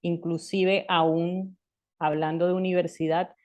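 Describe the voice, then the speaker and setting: A woman in her thirties from Colombia, a guest in an interview, talking in a reflective way with restarts and soft laughs. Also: on the low side, flat delivery, takes it slowly. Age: 30s. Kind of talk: conversational interview.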